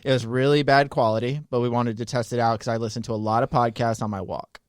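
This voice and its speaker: A man's voice: mid-range voice, fast talker, dry recording, studio quality. Easygoing male podcast host. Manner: relaxed, rambling, laughs easily. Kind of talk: casual chat. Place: podcast studio.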